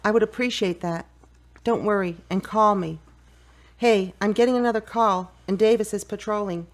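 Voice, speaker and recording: An actress plays a practical, supportive best friend in an audio drama. Her voice sits at a middle pitch. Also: moderate pace, natural voice. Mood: caring.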